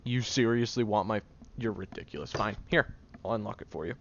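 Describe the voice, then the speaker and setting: A low, gravelly young man acted by a man in an intimate audio roleplay, on a slightly dull recording with some noise. He is sarcastic and easily flustered, bickering gruffly while hiding that he cares. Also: a little fast, a bit bright. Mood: flustered, indignant. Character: prickly, defensive young man, insulting but secretly caring. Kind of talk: audio roleplay addressed to the listener.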